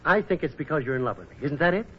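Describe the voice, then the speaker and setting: A man's voice: on the high side, expressive, very fast, husky. An actor playing a wry, stubborn, lovestruck young man with boyish insistence in a 1940s radio comedy. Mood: teasing, insistent. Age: young adult. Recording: muffled, noisy vintage radio recording.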